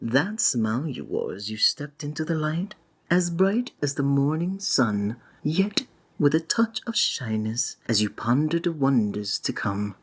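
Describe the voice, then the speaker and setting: A woman playing a flamboyant, courtly and sinister stage magician in a theatrical ASMR roleplay, with flowery, drawn-out, flattering phrasing. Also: a bass voice, husky, exaggerated voice. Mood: adoring, flattering.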